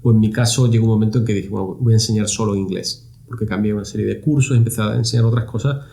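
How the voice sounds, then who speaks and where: A man's voice: on the low side, steady tone, fast, creaky, dark tone. A middle-aged man from Spain, a guest answering interview questions in a reflective way, often repeating words as he searches for phrasing. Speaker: university lab director, guest.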